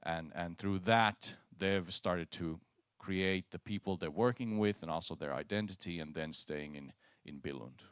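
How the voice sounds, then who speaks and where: A man speaking on a conference panel, halting and restarting his phrases. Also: low-pitched, a bit bright, smooth, no echo, studio quality. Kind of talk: panel talk. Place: conference centre.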